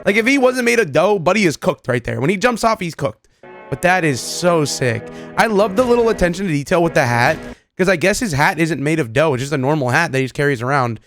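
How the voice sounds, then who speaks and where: Male gaming host, higher-pitched voice, chatty and excitable slangy commentary, faint music underneath. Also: fairly quick. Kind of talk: casual reaction commentary to game images and clips. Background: background music.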